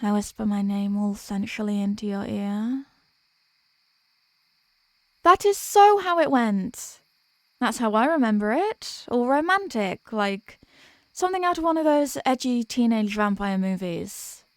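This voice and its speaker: Woman voicing a theatrical high-voiced vampire girlfriend. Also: big pitch swings, a little slow, dry recording. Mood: chatty. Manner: theatrical, pleading, mock-tragic. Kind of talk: scripted roleplay audio monologue.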